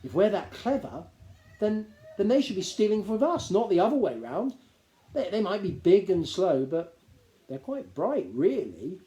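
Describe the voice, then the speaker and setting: A man's voice: high voice, sing-song, a little slow, dark tone, roomy sound, pitched above the performer's own voice, poor audio. A man voicing an honest, hesitant young gnome who stammers. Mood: earnest. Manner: stammering, earnest.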